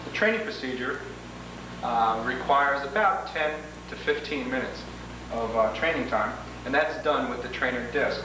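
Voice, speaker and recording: A man presenting an instructional training tape as a product demonstrator, methodically going through each step in formal wording. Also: mid-range voice. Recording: echoey, noisy, distant 1980s homemade video.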